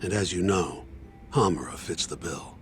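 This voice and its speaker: A man acting as a brisk, pragmatic, unsentimental defense lawyer in a video game, speaking bluntly and colloquially. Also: deep voice, soft background music, lightly acted. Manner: blunt, colloquial, matter-of-fact. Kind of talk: English game dub dialogue.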